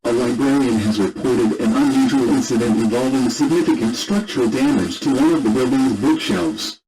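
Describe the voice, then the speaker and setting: A man's voice: mid-range voice, moderate pace, poor audio. A man reading a scripted broadcast announcement as a radio announcer. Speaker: radio announcer and emergency alert reader.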